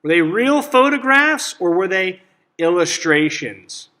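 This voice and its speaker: A man reading a picture book aloud to children as a teacher, pausing to ask simple questions and explain things. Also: high voice, sing-song, sharp tone. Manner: instructive, checks understanding with simple questions.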